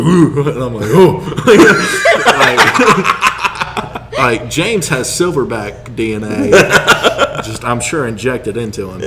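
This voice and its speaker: Against clearly noisy audio, a man swaps casual stories on a podcast. His voice sits at an ordinary pitch, often breaks into laughter, and acts out little reactions as he jokes along. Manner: joking, laughs often while talking. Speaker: podcast co-host. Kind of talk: casual storytelling banter.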